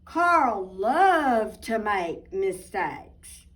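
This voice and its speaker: A woman reading a picture book aloud expressively, deliberate and emphatic, with little asides to young listeners. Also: mid-range voice, big pitch swings, a bit bright. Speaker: children's storybook reader, teacher. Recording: distant microphone.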